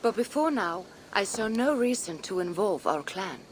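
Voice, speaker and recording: A woman playing a cautious, level-headed Viking clan advisor in a video game drama, measured and reasoned. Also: medium pitch, big pitch swings, a little hiss or hum, close to an everyday voice. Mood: grave, counseling.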